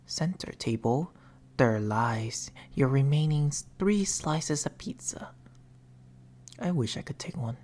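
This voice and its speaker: A soft-spoken male demon acted by a man murmurs right at the listener's ear in an ASMR roleplay. The delivery is very slow and theatrical, slipping often into breathy whispers. The voice sits at a medium pitch, intimate and teasing. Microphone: close binaural microphone.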